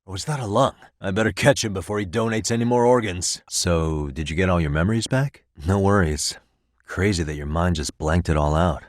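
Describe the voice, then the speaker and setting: A man's voice: sits low, a little fast, no room sound, lightly acted. An actor in his thirties with an American accent playing an easygoing, wry private detective in casual video game dialogue. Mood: friendly, wry. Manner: casual, dry wry humour.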